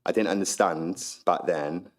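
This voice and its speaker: A man recently elected mayor, slowly reading the oath of office and then giving a speech in a croaky voice after a flu. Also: deep voice, fairly even intonation. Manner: slow, measured declaration. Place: council chamber.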